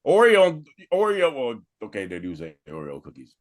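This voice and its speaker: A man's voice: medium pitch, huge pitch swings, normal speed. A man from Phoenix, a co-host in a casual podcast chat, laughing and joking with friends. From Phoenix, USA. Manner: laughing, joking, swearing freely.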